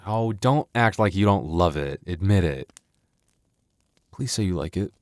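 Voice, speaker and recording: A man playing a playful, devoted, soft-hearted young werewolf boyfriend in an intimate ASMR roleplay, teasing and conversational, halting when flustered. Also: deep voice, lively intonation, no room sound, studio quality. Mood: playful, coaxing.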